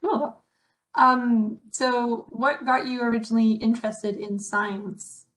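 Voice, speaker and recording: A woman's voice: fairly high pitch, steady tone, slow pace, a bit bright, rough recording. A woman interviewing a scientist, asking questions in a casual, friendly way.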